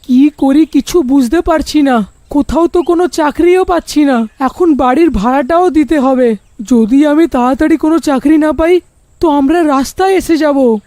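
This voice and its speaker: A woman's voice: sits high, moderate pace, mellow, dry recording, natural voice. A woman voicing an earnest, practical father of a poor family in a cartoon horror story. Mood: worried.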